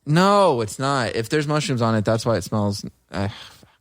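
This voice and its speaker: A man's voice: medium pitch, expressive, moderate pace, no room sound. A man co-hosting a podcast in casual, joking chat, often giggling through his words. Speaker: podcast co-host.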